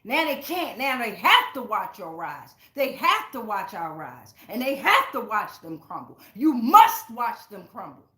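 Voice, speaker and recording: A woman's voice: fairly high pitch, big pitch swings, measured pace, few pauses, pushed and bright, reverberant. A woman giving a casual spiritual tarot reading, speaking straight to her viewers in an emphatic, blunt, sweary way. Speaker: tarot reader, channel host. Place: indoors at home.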